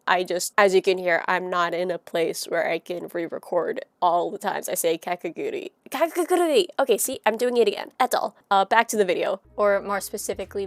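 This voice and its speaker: A young woman from Canada talking through casual commentary, chatty and self-deprecating with quick asides, her voice a bit nasal from a cold. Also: mid-range voice, no echo. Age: young adult. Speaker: commentary YouTuber.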